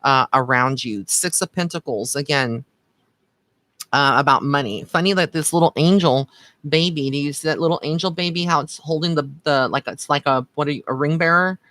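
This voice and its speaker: Bright-voiced man chatting casually on a livestream. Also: a little high, moderate pace, no echo. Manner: informal, chatty, addresses listeners with endearments. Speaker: psychic medium giving live card readings. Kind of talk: casual livestream chat with viewers.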